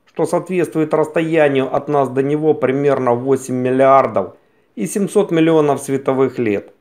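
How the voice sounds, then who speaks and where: A man narrating a scripted science documentary in a measured, explanatory manner. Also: medium pitch, a little mellow.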